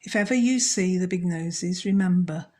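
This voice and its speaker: A woman storyteller narrating a children's story in a measured, scripted read. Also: lowish voice, a little mellow.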